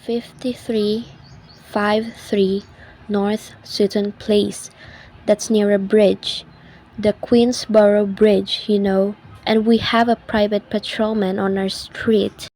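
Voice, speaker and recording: A woman's voice: mid-range voice, level tone, slow pace, a little mellow, a little hiss or hum, poor audio. A woman playing an anxious, demanding invalid housewife on the phone in an old-time radio thriller style. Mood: anxious, fretful. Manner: fretful, insistent, haughty. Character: anxious, demanding, self-pitying invalid housewife phoning the police.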